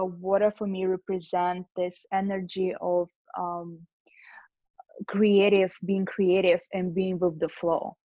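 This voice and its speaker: A woman answers an interviewer's questions, pausing often on "um" and "uh" as she thinks. Her voice sounds dry and slightly muffled, with no room around it. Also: mid-range voice, no room sound. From Lithuania, raised in Russia. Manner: thoughtful, with frequent fillers. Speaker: guest DJ.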